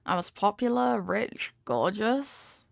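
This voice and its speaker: A woman's voice: mid-range voice, expressive, slow, creaky, no room sound, studio quality, full character voice. A woman acting a possessive, manipulative rich young girlfriend in an intimate audio roleplay, talking down in a coaxing, teasing way with veiled threats. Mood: exasperated, possessive.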